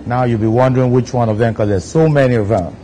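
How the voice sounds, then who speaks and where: A Nigerian man in his thirties talks to camera from a studio armchair, leaning on "you know" between thoughts. His voice sits at an ordinary male pitch, unhurried and easygoing. A steady background noise runs under the recording. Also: rough recording. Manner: laid-back, frequent "you know" fillers. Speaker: DJ hosting his own video countdown. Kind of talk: relaxed seated talk to camera. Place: studio set, seated in armchair.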